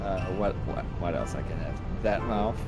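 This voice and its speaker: A man's voice: deep voice, expressive, moderate pace. A middle-aged man chatting casually as a livestream co-host while demonstrating his artwork on screen. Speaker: livestream co-host and game artist. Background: music.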